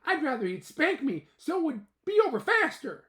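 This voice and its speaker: A bright, eager little boy ant from a storybook, as a man puts him on, squeaky high and full of bounce. He swoops up and down as he talks, earnest even when he turns serious and preachy. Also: normal speed, full character voice. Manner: eager, then solemn preachy. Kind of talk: children's storybook reading.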